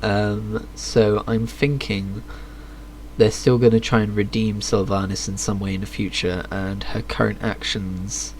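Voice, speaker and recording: A male game streamer with a slightly low voice, commenting casually and dryly as he plays. There is faint noise and game ambience behind him. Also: little pitch movement, a little slow, a little mellow, low-quality recording. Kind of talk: live game stream commentary, reading quest text aloud.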